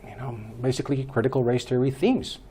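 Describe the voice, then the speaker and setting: A man in his fifties from Peru, answering interview questions as a guest in a measured way with many hesitations. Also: medium pitch, fairly even intonation, mellow, slightly rough. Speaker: guest, school board candidate.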